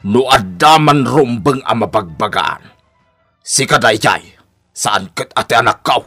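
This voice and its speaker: An indignant in-law father in an Ilocano radio drama. His medium-pitched voice is bright and forceful, stern and challenging as he stands up for his family. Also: lively intonation, measured pace, husky, faint music behind, exaggerated voice.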